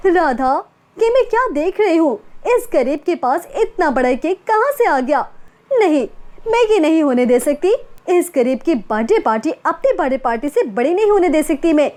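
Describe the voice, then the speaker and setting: A woman voicing a rich, jealous young college girl who talks fast and sneers. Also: high voice, very animated, a little mellow. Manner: sneering, quick-talking. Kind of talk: storybook character voice.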